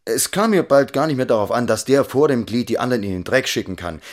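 A man's voice: medium pitch, animated, fast talker, close to an everyday voice. An actor playing a defensive grown man recalling his boyhood in a conversational radio drama voice.

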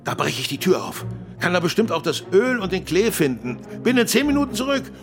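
An eager, practical helper in a children's radio play. His voice is fairly high for a man, brisk and reassuring, with faint music in the mix. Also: sing-song. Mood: concerned, helpful. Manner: brisk, reassuring, matter-of-fact. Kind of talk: children's radio drama acting.

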